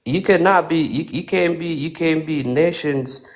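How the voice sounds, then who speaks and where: A man from Ethiopia, calling in to a talk room and speaking haltingly with many fillers. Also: a little high. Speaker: caller. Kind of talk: casual chat.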